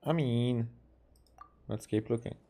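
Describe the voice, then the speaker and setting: A man from Hungary, a native Hungarian speaker, streaming a game with casual, halting commentary. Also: medium pitch, dark tone, slight background noise. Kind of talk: casual game commentary, reading dialogue aloud. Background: game music.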